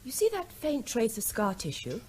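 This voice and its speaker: An actress playing a precise, confident doctor explaining findings in a radio drama. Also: female voice, on the high side, wildly swooping pitch, moderate pace, darkish tone. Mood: clinical. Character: clinical female doctor, a pathologist.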